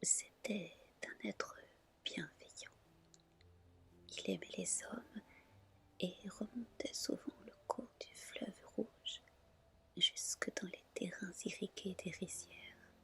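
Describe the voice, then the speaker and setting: Woman, ASMR story reading, soft breathy whisper, crisp bright edge, gentle delivery. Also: medium pitch, slow pace, long pauses.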